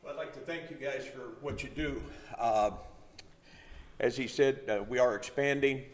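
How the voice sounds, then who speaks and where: A man's voice: medium pitch, normal speed. Plain-spoken man from a food bank. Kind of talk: short speech to a crowd. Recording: reverberant hall.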